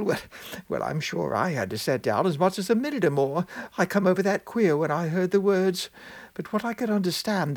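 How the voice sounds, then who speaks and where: A man's voice: on the high side, voice pushed up. A man voicing a gossipy village woman who rambles in rustic country speech.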